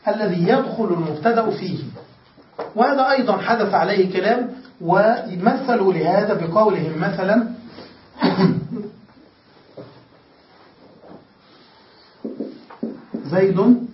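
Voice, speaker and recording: A man's voice: high-pitched, slow pace, pauses often, a little hiss or hum. A man teaching a classroom lesson who explains step by step, restates his points and keeps checking that his students follow. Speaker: grammar teacher. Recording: echoey room, distant lo-fi mic.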